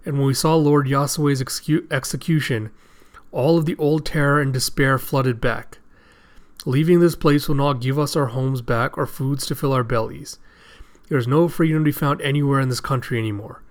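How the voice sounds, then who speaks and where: A man's voice: mid-range voice, steady tone, normal speed, close to an everyday voice. A man voicing a broken, weary male prisoner in a story retelling, resigned and bitter.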